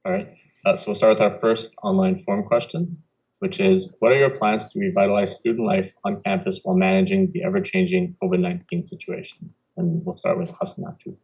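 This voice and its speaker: A man's voice: on the low side, sing-song, a little fast, vocal fry, darkish tone, breathy edge. A man moderating a student debate, reading questions in brief, procedural turns. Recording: dull lo-fi.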